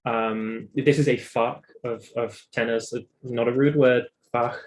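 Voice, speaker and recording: A man's voice: medium pitch, steady tone, unhurried, low-quality recording. A British man talking casually in an interview, explaining his own story in a talkative, emphatic way. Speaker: interview guest, singer and vocal coach.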